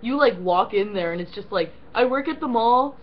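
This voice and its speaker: A woman's voice: fairly high pitch, normal speed, lo-fi sound, a little hiss or hum. Foul-mouthed young woman ranting. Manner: profane, animated, many fillers. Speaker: co-host. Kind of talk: casual ranting chat.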